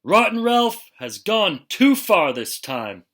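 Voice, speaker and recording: A man's voice: sits high, very animated, slow pace, fairly bright tone, voice pushed up, heavily acted. A man voicing a stern, exasperated father in a children's storybook, scolding emphatically. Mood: angry bellowing.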